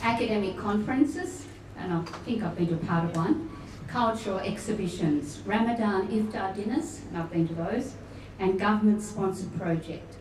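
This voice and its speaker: A woman welcoming guests at a luncheon, formal but with warm chatty asides. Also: mid-range voice, moderate pace, hardly any pauses. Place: function room at a luncheon event. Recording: echoey room, distant mic, noisy.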